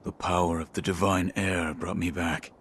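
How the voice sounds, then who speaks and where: An actor playing a stoic, loyal shinobi in a video game, speaking in a terse, restrained, solemn way. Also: male voice, low-pitched, flat delivery, moderate pace, slight background noise, natural voice. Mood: stunned, subdued. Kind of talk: dramatic video game voice acting. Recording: music behind the voice. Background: music.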